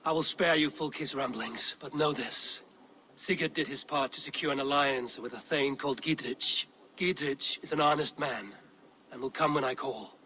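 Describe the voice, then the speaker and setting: A man playing a stern, commanding Viking clan leader in a video game, speaking in a firm, measured, authoritative way. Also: medium pitch, sharp tone, a little hiss or hum, close to an everyday voice. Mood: grave, resolute. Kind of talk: dramatic video game acting.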